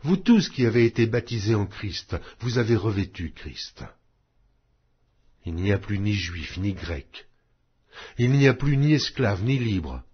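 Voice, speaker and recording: A man giving a solemn, measured scripture reading, with emphatic rises on key phrases. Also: fairly low pitch, sing-song, breathy edge. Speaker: Bible reader. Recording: dull lo-fi recording.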